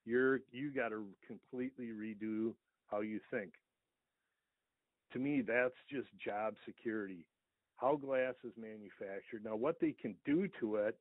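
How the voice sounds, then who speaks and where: An American man, a small business owner, earnestly explaining things at length in a conversational interview over the telephone. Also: medium pitch. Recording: thin, echoey phone line.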